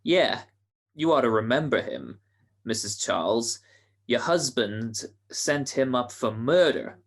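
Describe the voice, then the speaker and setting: Man playing a slow, menacing gangster henchman. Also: mid-range voice, animated. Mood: veiled menace. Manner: slow, casually threatening. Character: menacing, sardonic gangster henchman in 1940s crime story. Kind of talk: amateur 1940s-style radio drama.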